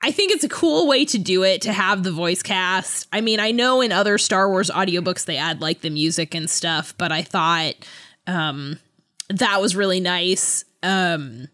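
A woman with a lowish voice and very lively pitch movement chats casually as a podcast co-host. She takes her time, halting and restarting, with a giggly manner. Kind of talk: casual book review chat.

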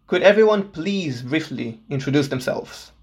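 A man's voice: a little high, animated, normal speed, breathy edge. Earnest, upbeat young male podcast host. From Portugal. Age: 20s. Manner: enthusiastic, earnest. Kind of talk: scripted podcast introduction.

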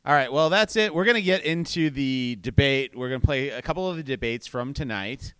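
Laid-back man with a lively voice. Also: mid-range voice, a little fast, smooth, no echo. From Boston area. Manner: relaxed, rambling with fillers. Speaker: podcast host and comedy club owner. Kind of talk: casual chat.

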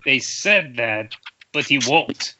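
A man's voice: medium pitch, expressive, slow pace. A man reading the part of a worried, blunt associate breaking bad news in an amateur screenplay table read. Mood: grave. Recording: dull, noisy internet call with clicks.

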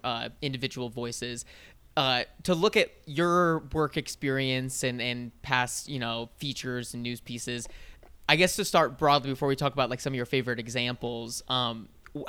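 Bright, smooth-voiced male co-host. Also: medium pitch. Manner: enthusiastic, chatty with fillers. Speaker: podcast co-host. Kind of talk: casual podcast interview.

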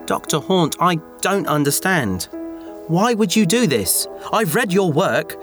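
An earnest, bookish male sidekick from a sci-fi radio play. He sounds polite but fussy, quick to sarcasm, with an animated, fairly high voice. Music is clearly audible behind him. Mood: reasoning, exasperated. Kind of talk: sci-fi audio drama acting.

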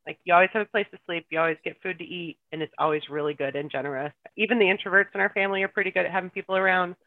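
A guest raised in the United States chats casually, telling stories in a warm, reflective way. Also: mid-range voice, very fast, no room sound. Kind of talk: casual podcast conversation. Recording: tinny phone-like remote line.

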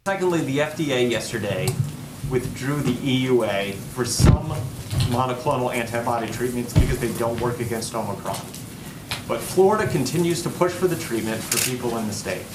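A male reporter asking a formal question from far off the mic. His words echo around the room, with clear noise and clicks behind him. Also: mid-range voice, lively intonation, brisk. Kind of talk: press briefing question. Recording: distant, echoey briefing room with noise.

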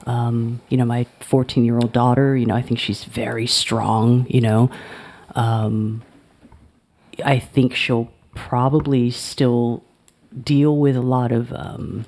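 A woman's voice: rumbling low, level tone, slow pace, darkish tone. A woman in her forties, a guest in a casual conversation, speaking in a thoughtful, reflective way and laughing readily.

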